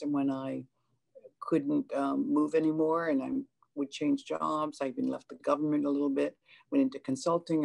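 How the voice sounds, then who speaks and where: Older woman, chinese first language, really gravelly voice, earnest, lots of restarts, video call from home. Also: low voice, darkish tone, low-quality recording. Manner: earnest, frequent hesitations and restarts. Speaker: panelist. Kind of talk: personal account on a panel. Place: home room, indoors.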